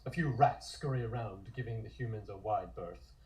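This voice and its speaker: Mellow low-voiced male narrator. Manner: measured, descriptive. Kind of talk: scripted narration of a comic script.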